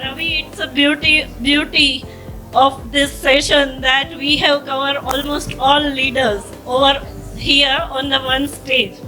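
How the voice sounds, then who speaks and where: A woman moderating a workshop panel, speaking haltingly and repeating words. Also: high-pitched, bright, forceful tone, rough recording.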